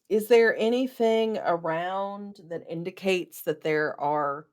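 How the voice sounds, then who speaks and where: A woman's voice: lowish voice, slow pace, smooth. A woman playing a tabletop role-playing game in a casual way, speaking tentatively and often in questions.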